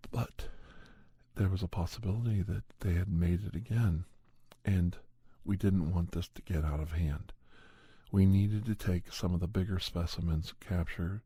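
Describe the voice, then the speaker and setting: A man with a deep, mellow voice narrates a horror tale as ASMR. His delivery is measured and halting, like a scientist giving his account, and the texture is husky and breathy. The sound is close and dry. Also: fairly even intonation, dry recording. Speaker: ASMR storyteller and podcast host. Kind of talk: ASMR first-person horror story narration.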